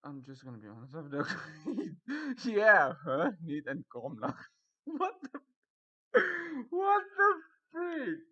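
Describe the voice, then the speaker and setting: A young man talks in rambling, casual commentary that breaks into loud disbelief. His voice is bright and forceful, and the sound comes through a muffled, lo-fi mic. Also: on the high side, huge pitch swings, slow pace, long pauses. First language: Afrikaans. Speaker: YouTuber reviewing Reddit posts. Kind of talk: casual commentary reading posts.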